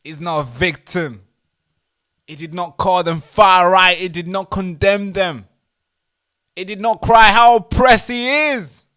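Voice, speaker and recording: A man hosting a casual livestream, talking in an animated, indignant way with mocking asides. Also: sits high, takes it slowly, clean tone. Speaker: livestream host.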